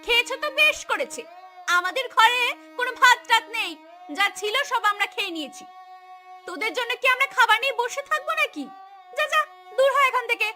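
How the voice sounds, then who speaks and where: A woman voicing a haughty, callous village aunt in a cartoon, shrill and scolding. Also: animated, fairly quick, sharp tone, faint music behind, exaggerated voice. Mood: scornful.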